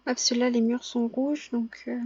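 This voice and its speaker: A young woman with a high voice, hoarse from a cold, talks through her gameplay in a casual, halting way. She pauses often and corrects herself. The recording is close and dry, with a slightly muffled, lo-fi sound. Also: fairly even intonation, a little mellow, no room sound. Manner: hesitant, many filler pauses and self-corrections. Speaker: gaming vlogger.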